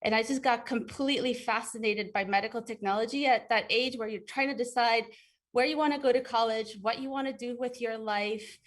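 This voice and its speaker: Higher-pitched woman from Ecuador. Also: steady tone, brisk. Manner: thoughtful, frequent fillers and restarts. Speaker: guest. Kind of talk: conversational interview answers.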